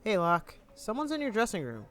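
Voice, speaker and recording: A stage crew member in a naturalistic audio drama. Her intonation is very lively and everyday. Some background ambience noise sits under the voice. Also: mid-range voice, a little fast. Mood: polite, hurried. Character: young stage crew member passing on messages.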